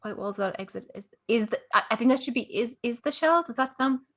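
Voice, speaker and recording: A woman's voice: on the high side, animated, fast talker, fairly bright tone. A woman in a casual script discussion, speaking hesitantly and apologetically.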